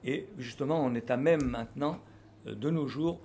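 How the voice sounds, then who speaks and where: A man's voice: mid-range voice, normal speed, darkish tone, slight background noise. An older man from France, speaking unscripted and hesitantly, with many fillers and restarts. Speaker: activist speaker. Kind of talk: unscripted spoken reflection. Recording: faint background ambience.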